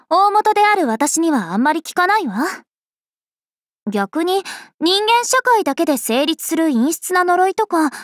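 An actress playing a cheerful, playful young vampire princess in an anime game, speaking in a bubbly, teasing, animated way. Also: female voice, extremely high pitch, no room sound, clean studio sound, full character voice. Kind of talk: anime game character voice lines.

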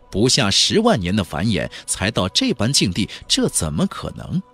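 A man's voice: lowish voice, fairly bright tone, dry recording. A man narrating a fantasy novel as an audiobook, with a theatrical, emphatic storytelling delivery. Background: background music.

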